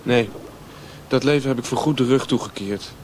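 An actor playing a restless, rundown drifter in a Dutch radio drama, speaking earnestly and haltingly, sometimes repeating words. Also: male voice, mid-range voice, darkish tone, close to an everyday voice. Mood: determined. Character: restless, rundown male drifter seeking open country. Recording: noisy old radio play recording.

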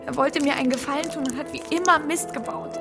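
An actress playing a brisk, cool-headed scheming woman in a German radio crime drama, matter-of-fact and sardonic. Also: high-pitched, loud music behind the voice.

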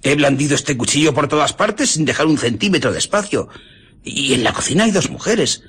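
An actor playing a nervous, excitable male tavern keeper in a radio drama. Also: fairly high pitch, a little fast, slightly rough. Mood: anxious.

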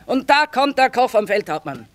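A woman's voice: a little high, very animated, moderate pace. Shrewd, brusque war-hardened mother. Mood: sardonic, blunt. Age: middle-aged. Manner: brusque, earthy, sardonic. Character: shrewd, blunt middle-aged mother trading amid war. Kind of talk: theatrical radio drama acting.

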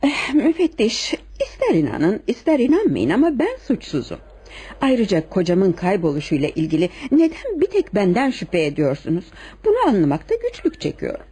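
An actress playing a charming, poised young wife under suspicion, speaking deliberately and dramatically in a radio play. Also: female voice, mid-range voice, huge pitch swings, mellow, husky, full character voice. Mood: pleading, wounded. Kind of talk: radio theatre acting.

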